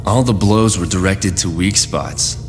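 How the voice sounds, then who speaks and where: An actor in an English anime dub playing a cool, seasoned android combat hunter who talks in a terse, analytical way. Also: male voice, low-pitched, measured pace. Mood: grim, suspicious. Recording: reverberant hall, soundtrack music behind.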